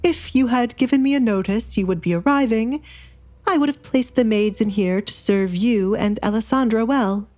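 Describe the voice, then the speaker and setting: A woman's voice: on the high side, normal speed, a little mellow, pitched above the performer's own voice, close to an everyday voice. Woman as a flustered, placating middle-aged baron. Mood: embarrassed excuse.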